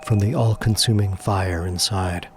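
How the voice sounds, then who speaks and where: A man's voice: on the low side, fairly even intonation, a little slow. A man narrating a scripted audio drama in a theatrical, suspenseful storytelling manner. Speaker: narrator and voice actor. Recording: music behind the voice.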